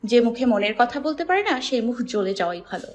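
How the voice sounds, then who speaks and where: A woman's voice: a little high, big pitch swings, fast, faint music behind. A woman playing a mischievous, huffy young woman in an audio romance story.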